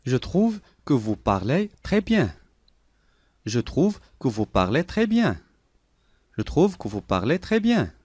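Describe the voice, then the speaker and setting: Male lesson reader: deliberate, clear, repeats each phrase, animated intonation. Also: medium pitch, no room sound, studio quality. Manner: clear, deliberate, each sentence said three times. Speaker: language lesson voice. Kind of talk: slow repeated phrase reading for learners.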